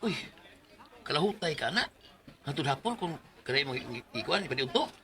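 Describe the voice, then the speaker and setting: A man's voice: on the high side, very animated, fairly quick, sharp tone, a little hiss or hum, full character voice. A man acting a generous, sympathetic workmate in a Bisaya radio drama, talking colloquially and insistently with a Filipino Bisaya accent. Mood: casual.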